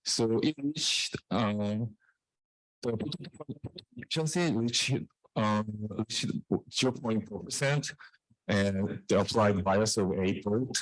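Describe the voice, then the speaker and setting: Halting man giving a technical talk, dry sound. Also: lowish voice, no room sound, low-quality recording. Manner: halting, hesitant delivery with repeated phrases. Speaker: researcher presenting at a conference. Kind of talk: technical scientific presentation.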